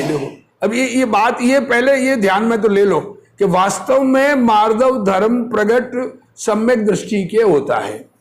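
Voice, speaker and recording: This is a man with a notably high-pitched voice delivering a religious discourse. He takes his time, moving slowly between reading and explanation. His manner is that of a teacher, instructive and fond of rhetorical questions. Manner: didactic, asks rhetorical questions and answers them.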